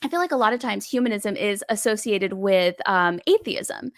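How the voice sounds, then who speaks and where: A chatty woman hosting an interview podcast, with a voice of middling pitch. She reacts quickly and eagerly to what her guest says and asks her questions in loose, everyday wording. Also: expressive. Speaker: podcast host. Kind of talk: casual interview.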